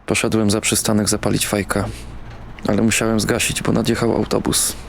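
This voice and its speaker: An actor playing a bitter, brooding young man in an audio drama, narrating and speaking in a weary, terse deadpan. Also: on the low side, brisk, vocal fry, slight background noise. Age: young adult. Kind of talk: audio drama first-person narration and dialogue.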